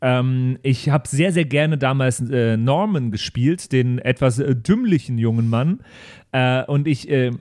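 A man's voice: medium pitch, a little slow, darkish tone, no echo, clean studio sound. A man chatting casually as a podcast host and role-play player. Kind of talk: casual group discussion of a role-play campaign.

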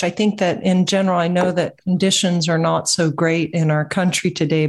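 Low-voiced, stuffed-up woman cohost. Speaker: podcast cohost. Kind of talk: casual podcast chat.

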